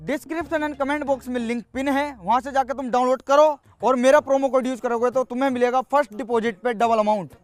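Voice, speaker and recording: A young village lad, played by a man with a very high-pitched male voice. He explains a scripted sponsor pitch with assurance, faint music running behind. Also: fairly even intonation, quick pace, hardly any pauses, no room sound. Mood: assured. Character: confident young village lad pitching a money-making app.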